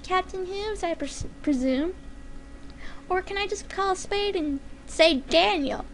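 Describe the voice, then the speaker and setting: Woman as a gleeful pony huntress, very high voice, flippant taunts, mock-friendly chirp, storybook reading, faint noise. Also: unhurried. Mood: taunting. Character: gleeful taunting female pony assassin, cheeky and breezy.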